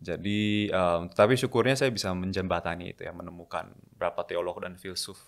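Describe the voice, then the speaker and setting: A young man, a seminarian, telling his life story at a measured pace in a casual podcast interview. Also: low voice, steady tone, gravelly. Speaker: guest, a seminarian.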